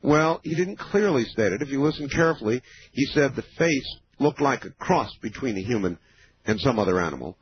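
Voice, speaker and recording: A man of fifty hosting a late-night call-in radio show, explaining in a measured way with many pauses. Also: mid-range voice, expressive, slight background noise. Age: 50s. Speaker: radio talk show host. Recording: dull lo-fi radio broadcast.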